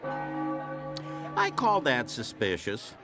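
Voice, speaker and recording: A man's voice: mid-range voice, huge pitch swings, very slow, full character voice. A man voicing an earnest, eager superhero sidekick in a cartoon game, dramatic and emphatic, over music. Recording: loud music behind the voice.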